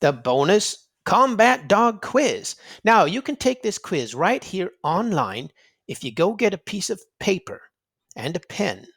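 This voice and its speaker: A man reading aloud to children in an expressive storybook style, dramatic and emphatic, drawing out words for effect. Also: high voice, measured pace, creaky, breathy edge. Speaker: children's read-aloud narrator and quiz host.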